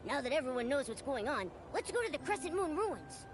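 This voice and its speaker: A woman voicing a small, blunt and excitable cheeky companion in a video game. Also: sits high, fairly quick, over music. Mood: eager.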